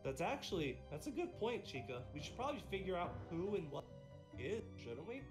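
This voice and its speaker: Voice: mid-range voice, very animated, a little fast, music in the background, natural voice. A performer playing an easygoing, eager and slightly anxious security guard with a hesitant manner in a game roleplay. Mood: musing. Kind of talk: improvised game roleplay acting.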